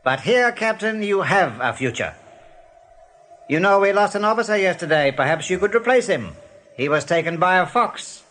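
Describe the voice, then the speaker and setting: An actor playing a sly rabbit general in a radio play, questioning with measured, menacing courtesy. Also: male voice, sits high, animated, a bit bright. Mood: insinuating.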